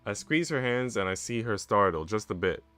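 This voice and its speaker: A man's voice: lowish voice, animated, moderate pace, fairly bright tone, faint music behind. A young man streaming a visual novel read-aloud, narrating with casual, slangy reactions.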